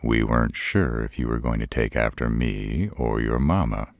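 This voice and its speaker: A man playing a gruff, protective older father in a roleplay audio, with a gravelly voice and a slow, deadpan, measured delivery. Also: dry recording, studio quality. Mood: gentle, firm. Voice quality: deep, gravelly. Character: gruff old father of a werebear's mate, protective, dryly stern.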